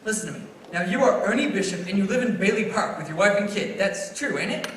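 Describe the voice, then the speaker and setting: A man's voice: high voice, expressive, quick pace, a bit bright. A young-sounding performer, a man, playing a frantic, bewildered family man in a stage radio play, stammering and agitated. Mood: desperate. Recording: very echoey stage, distant mic, faint noise.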